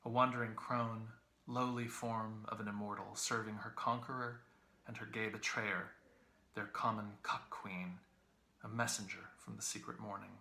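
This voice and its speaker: A man reading literary prose aloud as an audiobook narrator, expressive yet measured and precise. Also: fairly low pitch, vocal fry, reverberant. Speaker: audiobook reader, English professor.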